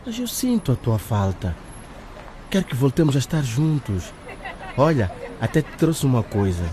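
Man as persistent young suitor, very expressive. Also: medium pitch, moderate pace, background noise, close to an everyday voice. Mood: pleading. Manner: insistent, wooing. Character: persistent young male suitor, pleading and smooth-talking. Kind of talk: radio drama acting.

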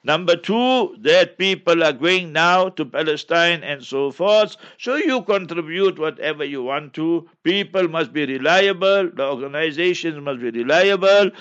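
A man's voice: a little high, lively intonation, a little slow, few pauses, fairly bright tone, lo-fi sound. A man from South Africa with a South African accent, whose first language is Memon, answering listener questions as an Islamic scholar in an emphatic, preacherly way.

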